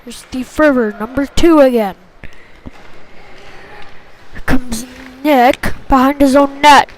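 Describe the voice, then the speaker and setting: Female hockey play-by-play caller, very high voice, slow pacing, clipped phrasing, excitable on big plays. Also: slight background noise, low-quality recording. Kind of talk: live sports commentary.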